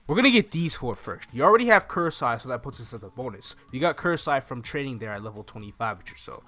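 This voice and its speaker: A young man with a bright, forceful voice walks viewers through a video game. He talks informally and thinks aloud, close to the microphone. Music plays behind him. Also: mid-range voice, animated, fast. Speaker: gaming video host. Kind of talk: casual game walkthrough commentary. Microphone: microphone on a stand close in front of him.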